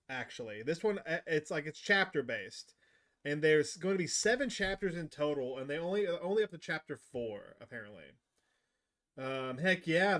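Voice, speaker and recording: A man hosting a gaming livestream, chatting casually and enthusiastically with his viewers. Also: on the high side. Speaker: livestream host. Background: game music.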